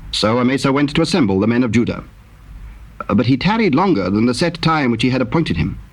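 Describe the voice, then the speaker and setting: A man giving a solemn, measured scripted narration of dramatized scripture. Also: mid-range voice, lively intonation. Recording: noisy cassette tape recording.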